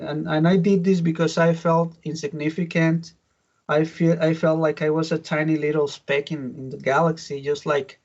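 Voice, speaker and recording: A middle-aged man from Mexico with a Mexican Spanish accent, a native Spanish speaker giving his testimony as a pastor in an interview, halting with many restarts. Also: fairly high pitch, a little mellow, muffled recording. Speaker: pastor, guest.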